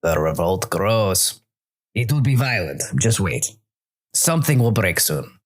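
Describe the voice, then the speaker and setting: Man acting a slow, murmuring tavern conspirator. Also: low-pitched, very animated. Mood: ominous, grim. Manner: murmured, ominous. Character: grim murmuring tavern conspirator warning of revolt.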